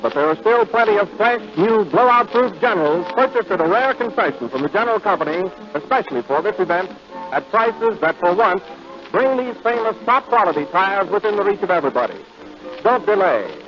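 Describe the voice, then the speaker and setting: A man announcing and trading banter on a 1930s radio comedy show, with a booming announcer delivery. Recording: dull lo-fi old radio recording.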